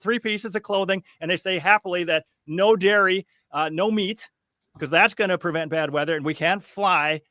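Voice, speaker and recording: A man holds forth as a podcast guest, bright and a touch high-pitched, his voice sitting dry and close with no room around it. He is emphatic and scoffing, and he circles back to hammer the same points. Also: moderate pace, dry recording. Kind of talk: casual panel chat.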